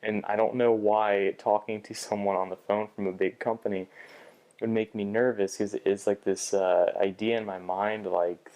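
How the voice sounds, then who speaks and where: Low, flat-voiced young man reflecting. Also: moderate pace. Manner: hesitant, many restarts and fillers. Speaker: vlogger. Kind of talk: reflective personal vlog.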